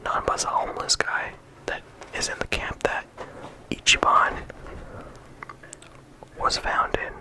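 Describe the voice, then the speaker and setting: An ASMR creator whispering softly throughout, talking casually with frequent ums and likes into a close microphone. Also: takes it slowly, pauses often. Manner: soft unvoiced whisper throughout. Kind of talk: whispered ASMR game discussion.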